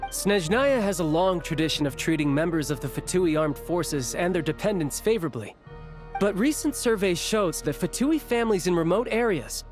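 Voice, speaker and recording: A man voicing a confident young military representative in a video game, debating in a formal, pointed way. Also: sits high. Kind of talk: video game character acting.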